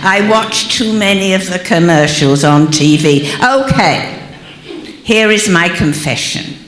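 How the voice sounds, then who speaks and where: A woman acting a sarcastic, middle-aged, long-married wife in a live comedic dramatic reading, with a dry, deliberate delivery. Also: lowish voice, expressive, a bit bright. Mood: sarcastic, emphatic. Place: church sanctuary, live performance. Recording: echoey live room, uneven level.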